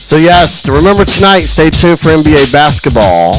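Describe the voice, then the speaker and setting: A man's voice: on the high side, very animated, normal speed, over music. A man in his forties hosting a radio show, chatting casually, rambling with frequent restarts and chuckles. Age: 40s. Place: radio studio.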